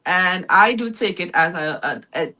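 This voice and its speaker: Bright-voiced Trinidadian woman. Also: fairly low pitch, normal speed. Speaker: guest. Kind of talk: casual talk show chat over phone.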